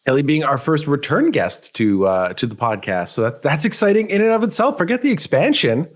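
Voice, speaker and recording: A man hosting a game podcast, slightly hoarse from a cold, upbeat and friendly as he asks questions. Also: fairly high pitch, very animated, brisk. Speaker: podcast host. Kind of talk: casual podcast chat.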